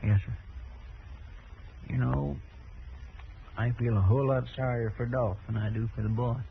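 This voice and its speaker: An American actor playing an earnest, folksy deputy in a radio western, with a deferential twang. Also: male voice, medium pitch, fairly even intonation, normal speed, gravelly, lightly acted. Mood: earnest, anxious. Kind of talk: 1950s radio western acting. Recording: tinny, noisy old radio broadcast.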